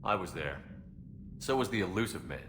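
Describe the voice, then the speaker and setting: Male, game role: grim military starship captain, low-pitched, terse, commanding, over noisy ambience. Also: animated, fairly quick. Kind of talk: video game cinematic acting.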